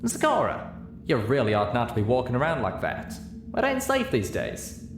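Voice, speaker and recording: A man's voice: mid-range voice, huge pitch swings, moderate pace, over music, pitched above the performer's own voice. A man voicing an earnest, protective country mare pony with folksy phrasing in a story reading. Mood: apologetic concern. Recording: roomy, clearly noisy home recording.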